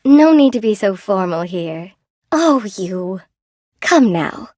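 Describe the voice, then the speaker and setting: Sweet-natured lonely spider lady from a video game, mid pitch, quaint n chatty, real dry studio sound. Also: slow pace, no room sound. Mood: delighted, welcoming. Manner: gentle, quaint, folksy, expressive, self-effacing. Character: friendly, lonely, chatty weaver spider woman, once mortal. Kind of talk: video game character acting.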